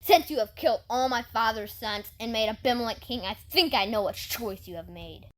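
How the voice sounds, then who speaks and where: A woman's voice: on the high side, moderate pace, a bit bright, natural voice. A woman voicing a righteous, defiant boy who declaims his accusation in an audio Bible drama. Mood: defiant proclaiming. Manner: declaiming, calling out from afar.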